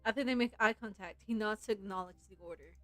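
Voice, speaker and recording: A woman's voice: mid-range voice, quick pace, dry recording. A woman livestreaming a game, chatting casually and reading its story aloud in a playful way. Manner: playful, giggly fan commentary. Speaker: game streamer. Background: game music.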